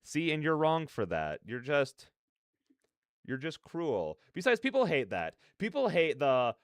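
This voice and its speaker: A man's voice: on the high side, a little slow, no echo, studio quality. Clean-voiced man chatting on a livestream. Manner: animated, slangy, rambling opinions. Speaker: livestreamer, reaction host. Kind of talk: casual livestream chat with viewers.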